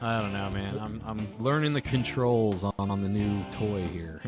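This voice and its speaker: A man's voice: on the low side, animated, a little slow. Chuckling man chatting over guitar music. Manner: laughs often while talking, profane. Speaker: podcast host. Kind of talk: casual reminiscing chat among friends. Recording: music playing behind the voice.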